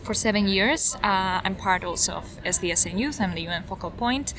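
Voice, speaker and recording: A woman from Spain, a concert pianist, chatting relaxed in an interview at an outdoor picnic table. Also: mid-range voice, fairly quick, a crowd in the background, poor audio. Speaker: concert pianist and project lead.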